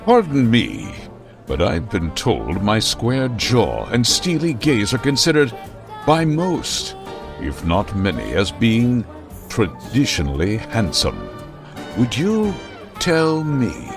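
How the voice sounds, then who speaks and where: An actor playing a grandiose, vain, aging masked vigilante hero in old-time radio style, pompous and melodramatic. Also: male voice, mid-range voice, huge pitch swings, slow, vocal fry, over music, exaggerated voice.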